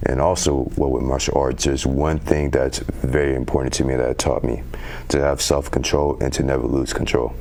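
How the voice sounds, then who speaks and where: A teenage young man, a youth council member, answering interview questions earnestly in a very deep voice with some halting fillers. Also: little pitch movement, creaky, darkish tone. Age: teens. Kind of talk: community TV interview.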